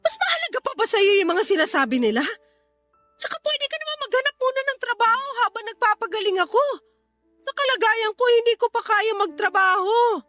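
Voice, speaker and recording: Very high-voiced, indignant Filipino wife. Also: female voice, lively intonation, a little fast, fairly bright tone, no echo. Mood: hurt, pleading. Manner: pleading, reproachful, emotional. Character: hurt, indignant ailing wife and mother, middle-aged. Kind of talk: Filipino radio drama acting. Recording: faint music bed behind voice.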